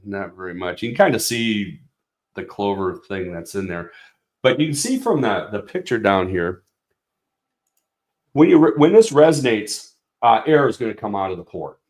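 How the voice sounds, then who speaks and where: Low-voiced man explaining speaker tech. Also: animated, moderate pace, darkish tone, echoey room. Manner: explanatory, frequent fillers and restarts. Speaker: company product representative. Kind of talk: technical product walkthrough.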